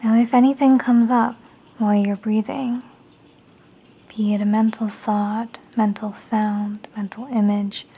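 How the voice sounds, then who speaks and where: Female meditation guide: soft, breathy, near-whispered, relaxed tone, slightly high pitch, soothing and unhurried instruction.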